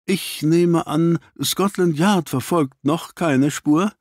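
Gentleman detective, played by a male narrator: shrewd, inquisitive, formal, raised pitch, audiobook crime story. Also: slow, dry recording, clean studio sound. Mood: keen, dry.

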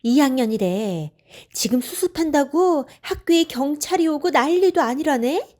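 A woman doing an excitable gossiping neighbor mom. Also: sits high, normal speed, pitched above the performer's own voice. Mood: gossipy. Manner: excited, gossipy phone talk. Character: chatty gossiping middle-aged neighbor mother. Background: faint music.

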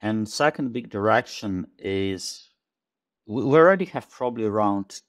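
A man in his forties, a tech company chief, talking over a video call in a thoughtful, halting way, often repeating words as he searches for them. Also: on the low side, lively intonation. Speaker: tech company CEO guest. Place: small home room indoors. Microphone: headset over video call.

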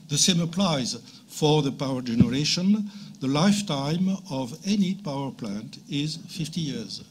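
Deliberate higher-pitched man, faint room noise. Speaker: workshop participant. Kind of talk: commenting point by point in a debate. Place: conference meeting room. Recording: conference room with background noise. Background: faint room ambience.

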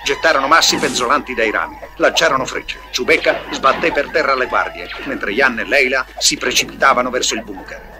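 A man's voice: mid-range voice, fairly quick, few pauses. A man reading scripted storybook narration as the narrator of a recorded tale. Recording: music under the voice. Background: music.

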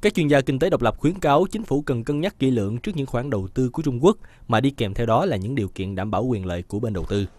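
A man narrates news reports in a brisk, even read. He talks quickly at a middle pitch for a man. It sounds like a close studio voice-over. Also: dry recording.

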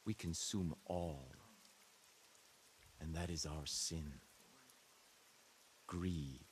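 Intense, deliberate cult leader preaching. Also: male voice, very deep voice, fairly even intonation, slight background noise, exaggerated voice. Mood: solemn, fervent. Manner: deliberate, repetitive, rhetorical build-ups. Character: intense messianic male cult leader preaching to his flock. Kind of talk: dramatic sermon.

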